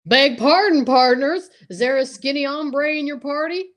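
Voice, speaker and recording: A woman's voice: high-pitched, moderate pace, close to an everyday voice. A woman playing a folksy male game warden with a cowboy drawl in an old-time radio comedy. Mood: folksy, deadpan.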